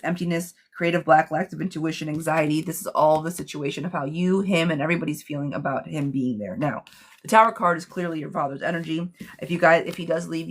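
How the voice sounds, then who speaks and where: A woman with a hoarse, smoky voice, doing a chatty live tarot reading while sick with a cough. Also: sits low. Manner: chatty, reassuring, calls listeners girlfriend. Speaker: tarot reader.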